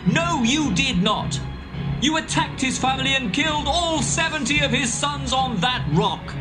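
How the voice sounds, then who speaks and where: An actor playing a young man who defiantly denounces the town's leaders in an audio Bible drama, speaking in an impassioned, accusing way. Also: extremely high pitch. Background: music score.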